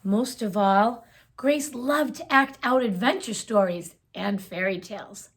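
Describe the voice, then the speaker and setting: A woman reading a children's picture book aloud in a gentle, expressive storytelling manner. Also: medium pitch, measured pace, breathy edge.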